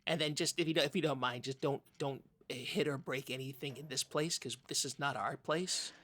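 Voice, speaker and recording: A man's voice: medium pitch, moderate pace, sharp tone. A man playing an anxious, prim podcast host in improvised comedy, fussy and hesitant, repeating his words. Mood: nervous, polite.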